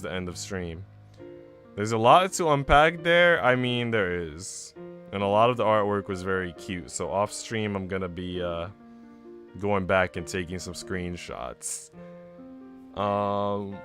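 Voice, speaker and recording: Low-voiced man streaming over music. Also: measured pace, fairly bright tone. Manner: rambling, chatty, addressing viewers. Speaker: game streamer. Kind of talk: casual livestream chat. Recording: music playing behind the voice. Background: background music.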